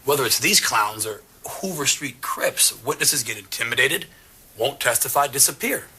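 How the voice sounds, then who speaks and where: A man's voice: medium pitch, expressive, moderate pace, bright, forceful tone, a little hiss or hum. A man playing a dogged, confrontational police detective in an audio drama, blunt and pressing. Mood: accusing. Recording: music under the voice.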